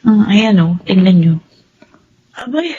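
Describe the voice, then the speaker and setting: An actress playing a young, outspoken Filipino daughter in a radio drama, exclamatory and full of fretful questions. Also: female voice, medium pitch, big pitch swings, measured pace, warm tone, highs cut off. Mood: gossipy, disapproving. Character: young outspoken daughter, fretful about her older brother. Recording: faint background noise.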